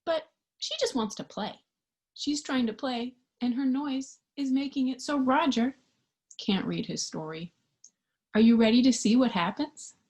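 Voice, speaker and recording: High, very animated woman reading to children. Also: a little slow. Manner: expressive, child-directed, with questions and sound effects. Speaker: storytime reader for young children. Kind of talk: animated children's picture book read-aloud.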